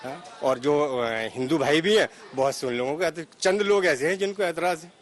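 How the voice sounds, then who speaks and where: A man giving a spontaneous interview answer, halting and repeating his phrases. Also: a little high. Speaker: member of the public interviewed. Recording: faint ambient noise.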